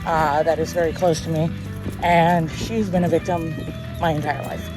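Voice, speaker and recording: A woman's voice: low voice, little pitch movement, moderate pace, rough recording. Candid woman chatting with music behind. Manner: candid, conversational, reflective. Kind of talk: casual personal chat. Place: outdoors on a walk.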